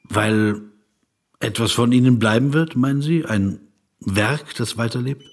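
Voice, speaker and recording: An actor playing a reflective, sceptical yet compassionate questioner in a radio drama, speaking in a measured, insistent way and slipping into inner narration. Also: male voice, medium pitch. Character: reflective male interviewer of a famous man, probing, sceptical, compassionate.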